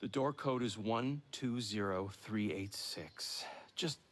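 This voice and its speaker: An actor playing an earnest, loyal informant in a video game, speaking in a hesitant, pleading manner. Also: male voice, fairly low pitch, slow, a bit bright. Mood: resigned pleading.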